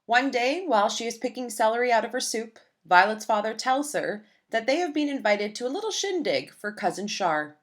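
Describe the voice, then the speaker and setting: A woman reading a children's picture book aloud in a gentle, expressive storytelling manner. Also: fairly high pitch, brisk, few pauses.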